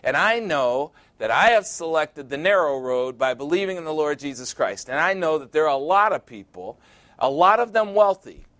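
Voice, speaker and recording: Man in his 60s preaching, emphatic, repeats himself, gets loud, voice a bit on the high side. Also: lively intonation, normal speed. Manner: emphatic, repetitive, building to loud peaks. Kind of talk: sermon.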